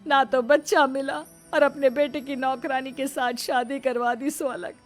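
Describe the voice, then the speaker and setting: Woman acting a high, melodramatic, domineering mother-in-law. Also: flat delivery, measured pace, faint music behind. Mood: alarmed, dismayed. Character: domineering, scheming mother-in-law desperate for a grandchild. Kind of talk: melodramatic Hindi cartoon voice acting.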